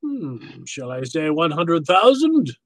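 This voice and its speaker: A pompous gentleman crime lord from a 1940s-style radio play. His voice is bright and sharp, set slightly high, and very dry and close. He speaks in wordy, mock-courtly phrases with smooth menace underneath. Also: sing-song, slow pace, no room sound, full character voice. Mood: smooth bargaining. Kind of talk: 1940s radio drama acting.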